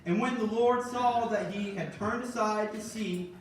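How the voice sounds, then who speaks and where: A man preaching in a church sanctuary, his voice unusually high for a man. It rings through a very echoey room and sits well back from the mic, with a congregation calling out responses. He shifts from measured reading to emphatic, building exhortation. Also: far from the mic, a little hiss or hum. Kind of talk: sermon.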